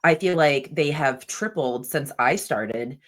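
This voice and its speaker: Low-voiced, chatty woman host. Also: measured pace. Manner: chatty, enthusiastic, uses "like" a lot. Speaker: podcast host. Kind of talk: casual interview chat.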